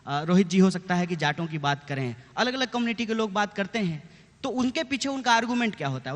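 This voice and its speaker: A man's voice: sits high, fairly bright tone. A man from Bihar speaking on a debate panel, laying out his argument step by step with examples. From Bihar, India. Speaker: student politician on a debate panel. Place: large conference hall on stage. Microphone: handheld microphone. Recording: long hall reverb.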